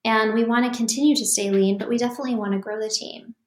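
A calm, even-keeled woman reflects on her path as a founder during a podcast interview. Her delivery stays fairly flat in pitch, and the recording picks up a roomy echo. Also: fast. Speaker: startup founder guest.

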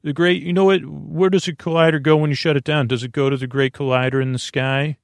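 Creaky, meandering man in a dry recording. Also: fairly high pitch, fairly even intonation, dry recording. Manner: meandering, halting, repetitive, with trailing tangents; drawn-out words, droning. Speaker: host of a sleep podcast. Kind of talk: rambling improvised bedtime monologue.

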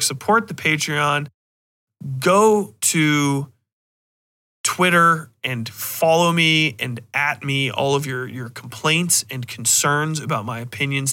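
A man's voice: a little high, sharp tone. A man hosting a podcast solo, talking in a casual, rambling, profane and joking way. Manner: profane, joking, self-mocking. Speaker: podcast host.

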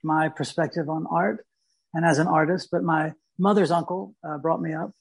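A man from Mississippi, a guest artist talking thoughtfully in an interview, pausing and restarting as he searches for words. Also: a little high, steady tone, vocal fry, slight background noise. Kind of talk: reflective interview talk.

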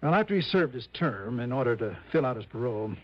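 An American actor playing a harried, grumbling insurance office manager in a vintage radio drama. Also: male voice, medium pitch, lively intonation, fast, dry recording, lightly acted. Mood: grumbling, confiding. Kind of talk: 1950s-60s American radio drama acting. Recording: dull vintage radio recording.

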